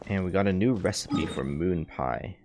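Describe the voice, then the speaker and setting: A man's voice: low voice, normal speed, a little hiss or hum. A man giving casual live commentary while he plays a video game, with game music behind him. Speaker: game streamer. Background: loud video game music.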